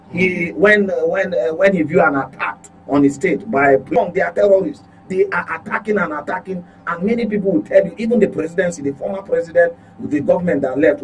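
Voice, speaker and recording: A man's voice: high-pitched, slight background noise, rough recording. A man with a Nigerian accent giving impassioned political commentary as a radio panelist, emphatic, with rhetorical questions and repeated phrases. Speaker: studio panelist on a political radio talk show.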